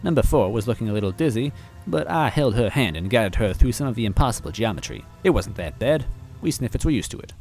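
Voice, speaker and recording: A man reading a story aloud in first person, with deadpan, mock-earnest narration. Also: mid-range voice, quick pace, soft background music. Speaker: story reader.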